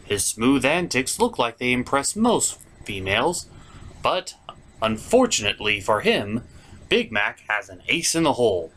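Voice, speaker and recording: A man's voice: medium pitch, very animated, measured pace, a bit bright. A man hosting a jokey, scripted cartoon recap in a theatrical, sarcastic way. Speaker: host of a cartoon episode review.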